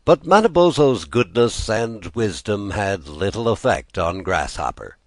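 A man's voice: on the low side, very animated, a little slow, no echo, studio quality. An American man reading a children's folk tale as a storybook narrator in an audiobook style. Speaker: volunteer audiobook reader.

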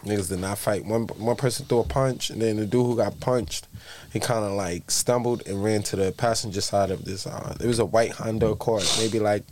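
A man in his thirties from the Bronx with a raspy voice, telling a story as a podcast guest in loose street slang. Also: on the low side, steady tone, moderate pace, a little mellow, slight background noise. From the Bronx, New York. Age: 30s. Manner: loose street slang, piles up vivid details. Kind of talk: casual storytelling chat.

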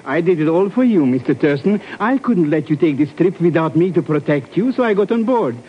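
An actor playing a cowardly, wheedling, excitable hanger-on in 1950s radio drama style. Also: male voice, a little high, fairly quick, close to an everyday voice. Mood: sheepish. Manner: pleading, excitable, ingratiating. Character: cowardly, wheedling male hanger-on, eager self-appointed helper. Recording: muffled, noisy vintage radio broadcast.

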